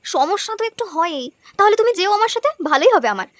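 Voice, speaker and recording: A woman's voice: extremely high pitch, big pitch swings, quick pace, no echo, clean studio sound. A woman voicing a hardworking, cheerful young village wife in a cartoon, eager and affectionate. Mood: reassuring. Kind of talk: cartoon dubbing.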